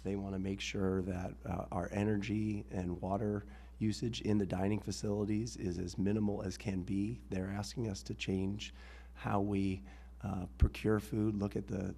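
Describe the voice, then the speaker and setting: Rough-voiced man with a low, flat delivery. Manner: earnest, steady explanation with filler ums. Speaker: assistant head of school speaking at a public hearing. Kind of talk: public meeting testimony. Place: town board hearing room. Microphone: meeting microphone.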